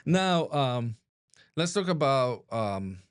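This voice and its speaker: A friendly man hosts a relaxed interview podcast, his voice sitting at an ordinary middle pitch. He sounds eager and keeps things light. The recording is close and dry, with no room sound. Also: takes it slowly, clear, resonant tone, dry recording. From Argentina. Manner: friendly, enthusiastic, laughs easily. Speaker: podcast host. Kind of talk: casual interview.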